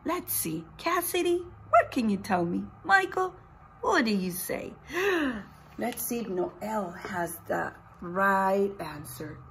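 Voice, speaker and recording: A woman's voice: medium pitch, big pitch swings, slow pace, fairly bright tone, a little hiss or hum. A middle-aged woman teacher reading a picture book aloud, expressively, putting questions straight to young children. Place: indoors, small room with posters and books.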